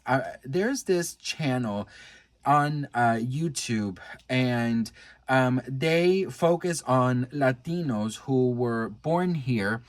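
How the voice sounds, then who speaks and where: An American man talks to his viewers like a vlogger with celebrity news to share. His manner is gushing and earnest, casual and familiar. His voice sits at an average pitch, and the sound is dry with hardly any room in it. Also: no room sound. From United States. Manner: gushing, earnest, addresses viewer as best friend.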